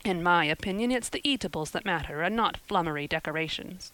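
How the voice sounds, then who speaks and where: A woman narrator acts out a practical, stern older woman in a storybook. The guardian speaks bluntly and briskly, with a scolding edge and no patience for nonsense. The sound is dry and close. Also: lowish voice, animated, fairly bright tone, no room sound, poor audio. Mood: dismissive.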